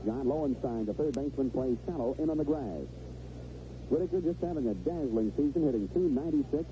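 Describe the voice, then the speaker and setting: A man's voice: medium pitch, fairly quick. An American man calling baseball play-by-play on the radio, mixing clipped phrases with conversational asides. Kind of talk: live radio play-by-play commentary. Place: broadcast position close to the field at a ballpark. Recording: vintage 1970s radio broadcast, muffled and noisy.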